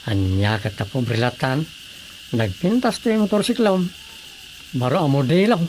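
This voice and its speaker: A man's voice: medium pitch, very animated, normal speed, a little mellow, slight background noise, lightly acted, poor audio. A man playing a friendly, eager village brother-in-law in an Ilocano radio comedy drama, with an Ilocano accent.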